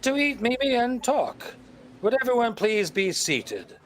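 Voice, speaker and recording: A man's voice: very high-pitched, sing-song, unhurried, a little hiss or hum, heavily acted. A man playing a witty, playful detective and teasing husband in a vintage-style radio play, with wry theatrical delivery. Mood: suavely hosting.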